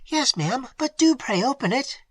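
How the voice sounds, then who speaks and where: A reader voicing Annette, a frightened young maid who talks in a high, urgent, breathless way. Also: expressive, moderate pace, slightly rough, no room sound. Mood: pleading.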